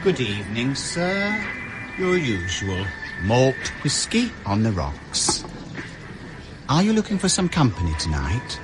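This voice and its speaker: An actor playing a discreet, insinuating go-between in a bar, confidential and coaxing, in a radio drama. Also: male voice, mid-range voice, wildly swooping pitch, takes it slowly. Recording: loud music behind the voice.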